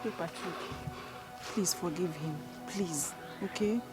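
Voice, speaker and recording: An actress playing a pleading peacemaker in a Nigerian film drama, with a Nigerian accent. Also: female voice, high voice, very animated, a little fast, music in the background. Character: pleading peacemaking young woman calming angry men.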